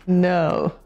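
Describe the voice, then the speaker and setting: Playful competitive woman co-host. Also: lowish voice. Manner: playful, competitive, animated banter. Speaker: morning show co-host. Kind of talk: casual studio chat.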